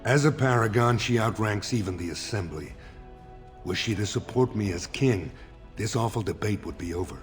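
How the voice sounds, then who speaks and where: A man voicing a dignified dwarf lord seeking a throne in a video game, speaking in a measured, formal manner. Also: lowish voice, soft background music, close to an everyday voice. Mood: grave, formal. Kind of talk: video game character dialogue.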